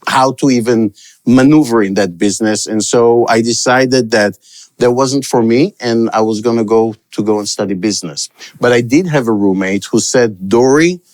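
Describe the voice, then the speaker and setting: A middle-aged man from Israel, raised in Africa, talking about his career as a guest in an interview, reflective and rambling, with frequent restarts. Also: mid-range voice. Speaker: guest, sales expert. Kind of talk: storytelling interview answers.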